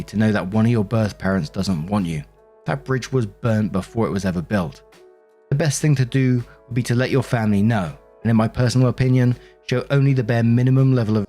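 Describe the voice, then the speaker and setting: Deep-voiced man reading stories over music. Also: darkish tone. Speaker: host narrator of a Reddit stories channel. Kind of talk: reading online stories aloud with casual commentary. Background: background music.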